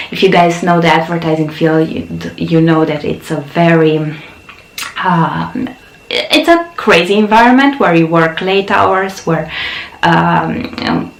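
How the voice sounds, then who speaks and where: A woman's voice: lowish voice, reverberant, poor audio. A woman in her thirties, Romanian-born with Romanian as her first language, hosting her own channel and telling her life story in a frank, chatty way. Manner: frank, chatty, with many fillers and laughs. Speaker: yoga channel host. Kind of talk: personal storytelling to camera.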